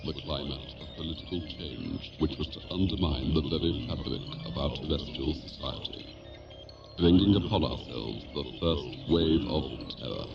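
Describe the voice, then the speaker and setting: An actor playing a ponderous, ominous orator in an audio drama, declaiming slowly and portentously. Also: male voice, a bass voice, gravelly. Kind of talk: 1980s amateur audio drama acting. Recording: dull, distant, under very loud music.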